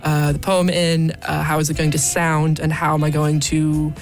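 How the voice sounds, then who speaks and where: Woman, 30s, American accent, low voice, hesitant, thoughtful, radio guest, faint music behind. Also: little pitch movement, moderate pace. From United States. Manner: thoughtful, hesitant, many ums and uhs. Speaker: poet, studio guest. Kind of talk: radio interview. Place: radio studio. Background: faint background music.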